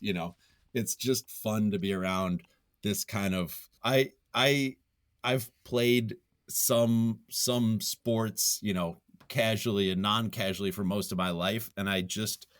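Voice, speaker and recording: A man giving casual, reflective interview answers, thinking aloud in a halting way and circling back to repeat his phrases. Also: lowish voice, no echo, studio quality. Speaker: podcast guest, nonfiction writer.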